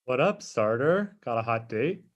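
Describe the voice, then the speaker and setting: A man's voice: mid-range voice, very animated, measured pace. A cocky eighteen-year-old male intern, acted by a man at a comedy table read. He has a mid-range voice and talks in a snide, slangy, mocking way.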